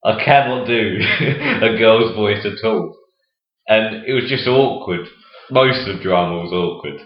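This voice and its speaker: A young man with a deep voice hosting a casual podcast, talking hesitantly with many pauses and ums. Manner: hesitant, fills with "um". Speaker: podcast host. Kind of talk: casual chat. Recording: echoey room, dull lo-fi sound.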